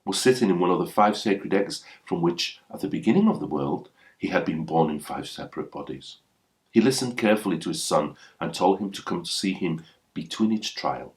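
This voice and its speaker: A man reading a folktale aloud as a scripted storyteller. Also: deep voice.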